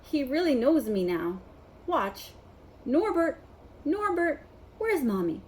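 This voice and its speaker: Woman playing a high-pitched, doting, sentimental gamekeeper man. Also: sing-song, takes it slowly, a little hiss or hum, full character voice. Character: Hagrid, sentimental, doting male gamekeeper fretting over his pet dragon.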